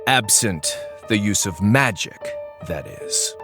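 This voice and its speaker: An actor playing a smug, insinuating courtroom accuser in a game, with theatrical, pointed delivery and drawn-out pauses. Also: male voice, on the low side, animated, over music. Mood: smug accusing. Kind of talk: dramatic game dialogue.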